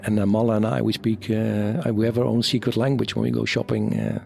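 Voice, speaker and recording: A Dutch man in his fifties, an army officer answering interview questions in English with a Dutch accent, pausing often to search for words. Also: fairly low pitch, flat delivery, creaky, a little mellow, faint music behind. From Netherlands. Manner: halting, searching for words, many fillers.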